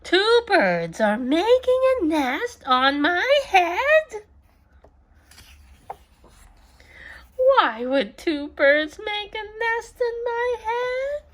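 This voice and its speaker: A woman's voice: very high-pitched, very animated, takes it slowly, few pauses, a bit bright, pitched above the performer's own voice, full character voice. A woman voicing an anxious, easily exasperated elephant from a children's picture book, with dramatic, wailing questions and exclamations. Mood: bewildered. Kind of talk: read-aloud children's storybook.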